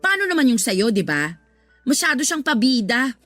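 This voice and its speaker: Sassy, high-voiced supportive woman friend. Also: normal speed, no echo, clean studio sound, faint music behind. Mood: indignant, firm. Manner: colloquial, expressive exclamations. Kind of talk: Tagalog radio drama acting.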